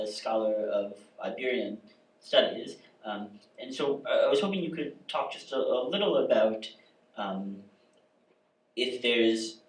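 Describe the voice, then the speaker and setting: Male moderator, halting and filler-heavy, recorded from a distance in a roomy café. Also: mid-range voice. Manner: hesitant, filler-heavy questioning. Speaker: host, moderator. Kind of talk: public conversation. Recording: echoey room from far off.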